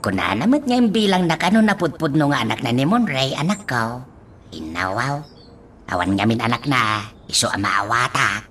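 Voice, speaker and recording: Man acting a lively, bright-voiced old village father. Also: mid-range voice, normal speed, a little hiss or hum. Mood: worried. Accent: Ilocano. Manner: exclamatory, repeats a pet phrase. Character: excitable, folksy old village father, Tata Malyong. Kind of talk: Ilocano radio drama acting.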